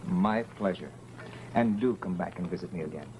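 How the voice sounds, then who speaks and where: An actor playing a suave, affable theater actor, urbane and gently mocking, in an old radio drama. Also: male voice, deep voice, moderate pace, muffled recording, lightly acted. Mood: wry, mocking. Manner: urbane, wry. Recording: noisy old radio recording.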